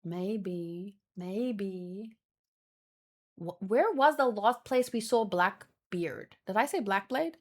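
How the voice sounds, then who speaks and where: A woman's voice: medium pitch, animated, slow. A woman chatting casually as a streamer, thinking aloud in a rambling, exclamatory way. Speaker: manga read-along streamer.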